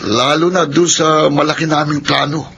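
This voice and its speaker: A man's voice: on the high side, flat delivery, moderate pace, vocal fry, bright, forceful tone. A man playing a cocky, slangy young gang buddy in a Filipino radio drama. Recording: dull radio sound, faint noise.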